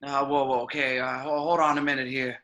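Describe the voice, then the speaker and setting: A man's voice: mid-range voice, little pitch movement, a little fast, a bit bright, lo-fi sound, natural voice. Panicky, shifty alien shopkeeper, read by a man, whining and stammering in a piercing tone, table read. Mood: nervous. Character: shifty, panicky male alien pawnshop dealer. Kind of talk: amateur at-home table read of a film script.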